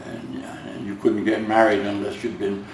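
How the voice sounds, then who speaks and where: A man's voice: fairly low pitch, completely flat delivery, normal speed. An older man with a Finnish-American accent, Finnish as his first language, reminiscing hesitantly in an oral history interview, with many fillers and restarts. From United States. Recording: roomy, distant, noisy home recording.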